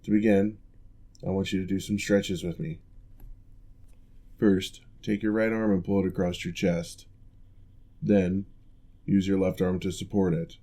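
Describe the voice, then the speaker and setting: A composed male orc, once a general and now a physical therapist, as a man plays him in an ASMR roleplay. His voice sits a little low and mellow. He speaks in a measured, formal, reassuring way. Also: little pitch movement.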